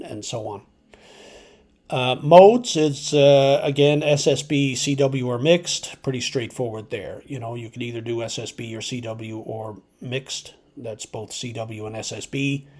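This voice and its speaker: A man's voice: medium pitch, a little mellow. Male hobbyist video host, mid-range voice, informal explainer delivery, hesitant and unscripted. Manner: hesitant, halting, thinking aloud. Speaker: amateur radio video host.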